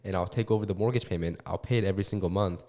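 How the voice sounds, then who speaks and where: A young man in his twenties talks as a guest in a relaxed interview. His voice sits very low, and he rushes through his answers with lots of restarts and fillers. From Korea and California. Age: 20s. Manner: fast, halting, with many restarts and fillers. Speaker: guest, real estate investor. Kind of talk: casual interview talk.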